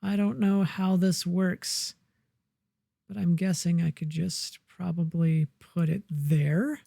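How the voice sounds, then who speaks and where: A woman plays through a survival game and chats to herself in a slow, unhurried ramble. Her voice sits low and mellow. The sound is dry and close, with no room around it. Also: creaky, no echo. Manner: relaxed, muttering to herself while building. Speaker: gamer. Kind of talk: casual let's-play commentary.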